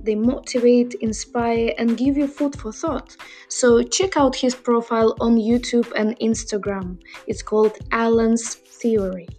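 A woman's voice: a little high, fairly even intonation, unhurried, a little mellow, soft background music. Eager woman podcast host. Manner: eager, enthusiastic. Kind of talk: casual interview chat.

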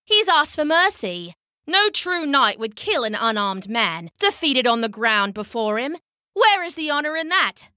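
A woman's voice: high voice, sing-song, unhurried, bright, forceful tone, dry recording, clean studio sound, voice pushed up, heavily acted. A woman voicing a noble, honorable male knight in a storybook, earnest and declamatory. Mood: firm, principled. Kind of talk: storybook character acting.

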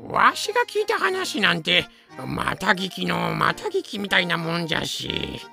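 An old man telling pirate yarns in an audio drama. The voice is very gravelly and swings widely in pitch, with a forceful edge and an indignant, easily flustered manner. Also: big pitch swings, unhurried, faint music behind, heavily acted. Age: older. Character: blustery old man spinning pirate tales. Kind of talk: Japanese audio drama acting.

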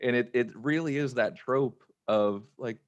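Adult male, medium register, dry sound, relaxed informal chat and anecdotes. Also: medium pitch, a little slow, dry recording, dull audio. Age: adult with a young child. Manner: informal, chatty storytelling. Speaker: podcast guest and voice actor. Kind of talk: casual chat.

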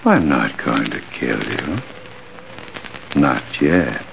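An actor playing a bitter gray-haired ex-convict bent on revenge, sardonic and deliberate, in 1940s radio drama style. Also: male voice, extremely low pitch, very animated, creaky, full character voice. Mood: vengeful, menacing. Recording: dull, hissy old radio recording.

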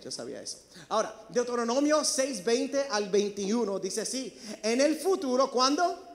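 A man preaching a sermon, emphatic and calling out to his congregation in a church. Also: very high-pitched. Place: church sanctuary. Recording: long reverb of a large hall.

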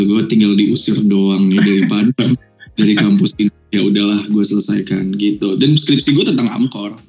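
A man's voice: fairly low pitch, dark tone, poor audio. A young man from Sumatra with a Batak-tinged Indonesian accent, hosting a chatty, joking podcast conversation in slang. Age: young adult. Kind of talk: casual chat.

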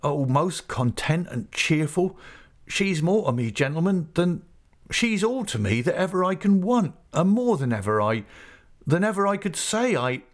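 A man voicing a shy, sturdy young fisherman who speaks haltingly. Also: fairly high pitch, big pitch swings, pitched above the performer's own voice. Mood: tender. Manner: faltering, halting.

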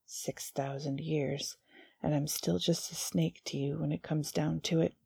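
A woman's voice: sits low, fairly even intonation, warm tone. A woman doing a drawling male demon. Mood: hissing. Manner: slow lazy drawl. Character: male demon, lazy drawl, wounded, brooding.

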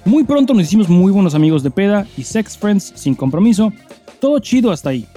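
Mexican man, slightly higher pitch, soft mellow tone, slangy podcast delivery, dry sound, faint music behind. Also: very animated, fairly quick. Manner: swears freely, slangy. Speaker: podcast co-host. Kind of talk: casual chat and listener-mail reading.

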